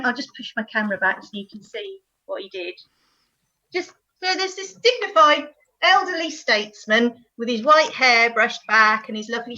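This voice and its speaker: A woman telling a stage anecdote in an animated way, acting out moments as she goes, on a video call. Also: sits high, muffled recording. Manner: animated storytelling, acts out moments. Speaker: actor.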